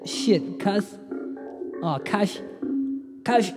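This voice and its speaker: A slack, dopey dude acted by a man with a slightly high voice and a slow, rambling delivery. It is comedy radio-play talk, washed in long reverb. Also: lively intonation, loud music behind the voice, heavily acted. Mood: faint, groaning. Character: dopey, slack, slow-talking man who calls everyone bud. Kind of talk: comedy audio drama acting.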